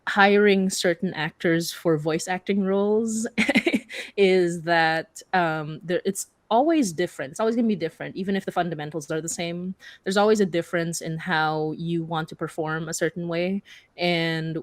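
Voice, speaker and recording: Female panelist, low pitch, dry sound, candid and self-deprecating, casual delivery. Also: normal speed, no echo. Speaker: podcast creator and animator, panelist.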